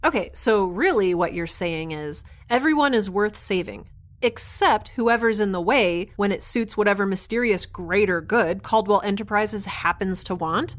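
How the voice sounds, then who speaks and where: A woman's voice: medium pitch, moderate pace, close to an everyday voice. A woman playing a defiant, wry young rebel on the run in a science fiction audio drama, chatty and given to sarcastic asides.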